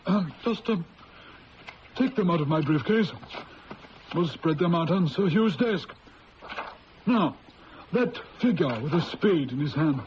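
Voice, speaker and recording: An actor playing a shrewd, methodical male police inspector in a 1970s radio drama, speaking in a crisp, polite way. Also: high-pitched. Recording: lo-fi old radio broadcast with hiss.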